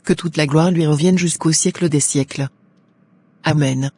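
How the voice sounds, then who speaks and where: Casting: man as devout cartoon mother, fairly high husky voice, formal prayerful delivery. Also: steady tone, a little slow, a little mellow, no room sound. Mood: grateful, prayerful. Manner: pious, formal, declamatory.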